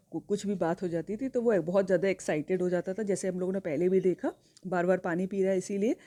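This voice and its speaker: A woman's voice: fairly low pitch, warm tone. A woman teacher with an Indian accent explaining a play line by line and speaking directly to her students.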